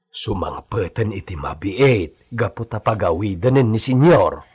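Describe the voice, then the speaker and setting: A man's voice: on the low side, animated, measured pace, a little mellow, husky, exaggerated voice. A man playing an earnest, plain-spoken Filipino farm hand in a radio drama, sounding concerned. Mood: worried. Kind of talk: Ilocano radio drama acting.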